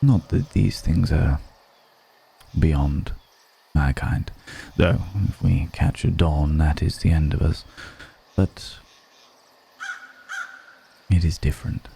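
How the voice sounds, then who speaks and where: An extremely low-voiced ancient male vampire, acted by a man for an ASMR roleplay, with a gravelly creak in his voice. He is world-weary yet seductive, brooding unhurriedly in a dark, mellow tone. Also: fairly even intonation, heavily acted. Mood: weary, wistful. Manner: slow, deliberate, brooding, with long pauses. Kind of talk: intimate ASMR roleplay monologue.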